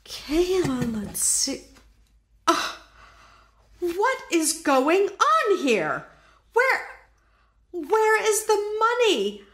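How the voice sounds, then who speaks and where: A woman's voice: extremely high pitch, big pitch swings, very slow, long pauses, a bit bright, full character voice. A woman playing a haughty scheming widow. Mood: frantic. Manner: haughty, imperious. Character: greedy scheming widow of means, cold. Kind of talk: old-time radio drama acting.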